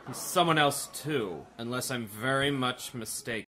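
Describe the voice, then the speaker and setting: A man's voice: medium pitch, normal speed, natural voice. Man as a pompous police commissioner, noisy recording. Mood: commanding. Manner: pompous, authoritative. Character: self-satisfied, commanding police commissioner, proud of his career. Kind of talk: 1930s radio acting. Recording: noisy track with background ambience.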